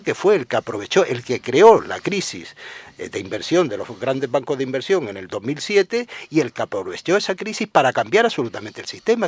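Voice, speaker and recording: Man from Spain, journalist guest, mid-range voice, halting then forceful. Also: medium pitch, no room sound. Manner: trails off, then presses points forcefully and pessimistically. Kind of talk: radio interview.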